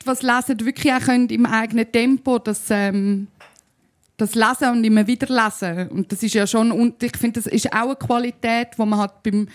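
A young woman with a Zurich Swiss German accent, a spoken-word artist thinking aloud as a guest, restarting and asking rhetorical questions. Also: on the high side. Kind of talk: casual conversation.

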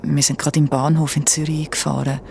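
A woman's voice: deep voice, little pitch movement, quick pace, creaky, a little mellow, slight background noise. A woman with a Swiss German accent playing a shaken, insistent young traveller who narrates her ordeal in a radio drama. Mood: shaken, tense.

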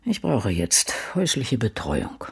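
An older woman playing a frail, bitter old crime novelist in a radio drama, brooding to herself in a low, gravelly voice. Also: huge pitch swings, unhurried, exaggerated voice. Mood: weary, matter-of-fact. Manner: brooding, musing self-talk.

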